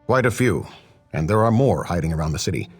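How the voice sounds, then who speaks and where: Noble guardian swordsman, video game: low register, lively intonation, formal, firm, protective. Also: quick pace, husky, faint music behind. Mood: relieved, somber.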